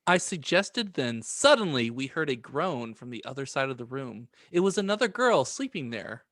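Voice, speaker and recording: A man's voice: medium pitch, very animated, moderate pace, a bit bright, no echo, clean studio sound. A man co-hosting a podcast, chatting casually and reading aloud. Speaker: podcast host.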